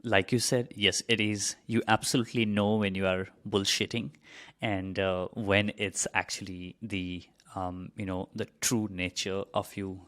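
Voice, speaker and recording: A man hosting a podcast, responding to his guest in casual interview chat, relaxed and leaning on "you know" fillers. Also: fairly low pitch, unhurried. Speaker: podcast host.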